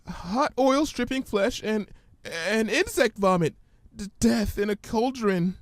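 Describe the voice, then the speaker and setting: A shy, fearful young female cartoon pony acted out by a man. The voice is lifted high and falters with meek stammers. It sounds apologetic and hesitant, recorded dry. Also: animated, slow pace, no echo. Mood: trembling, frightened.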